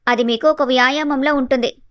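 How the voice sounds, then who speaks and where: A woman playing a sly, sweetly bossy young daughter-in-law in an animated family story. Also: sits high, fairly quick, no echo, studio quality. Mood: slyly insistent. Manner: mock-sweet, solicitous.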